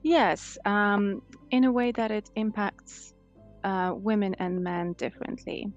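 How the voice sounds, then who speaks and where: A woman's voice: medium pitch, steady tone, slow, dull audio, faint music behind. A woman in her thirties with a Russian accent, answering conversationally as a life coach in an interview. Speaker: life coach, podcast guest.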